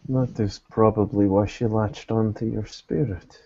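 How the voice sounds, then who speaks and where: A man speaks in a low, dark voice with long deliberate pacing, choosing formal words as he role-plays a character. The audio is slightly dull and lo-fi. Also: fairly even intonation, gravelly. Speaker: role-playing gamer voicing a private investigator. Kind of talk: slow in-character improvised dialogue.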